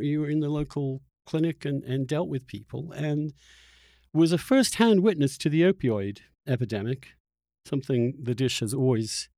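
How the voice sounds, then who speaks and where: A man hosts an interview podcast in a conversational style. His pitch is middling, and he tends to halt and repeat words as he works toward his point. The sound is dry, with hardly any room on it. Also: darkish tone, dry recording. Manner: halting, repeats words as he phrases questions.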